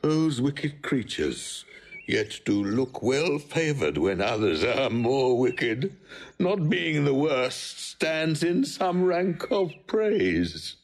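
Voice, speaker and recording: A man's voice: medium pitch, big pitch swings, full character voice. An actor playing a wretched, proud old king and father in a declamatory Shakespearean audio drama. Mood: wounded pleading. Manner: declamatory, drawn-out delivery.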